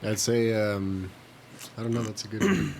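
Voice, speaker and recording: A man chatting casually on a podcast in slangy, somewhat halting speech. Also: lowish voice, little pitch movement, darkish tone, a little hiss or hum. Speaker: podcast co-host.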